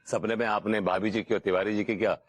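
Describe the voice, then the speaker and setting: A man doing a deep-voiced, sly, mocking neighbour. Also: fairly even intonation, fast, no room sound, natural voice. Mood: teasing. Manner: sly, teasing.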